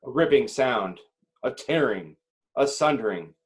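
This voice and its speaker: A man narrates screenplay directions in a mid-pitched voice. He reads slowly and dramatically, setting each phrase apart with deliberate little pauses. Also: expressive. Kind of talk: dramatic scripted narration at an amateur table read.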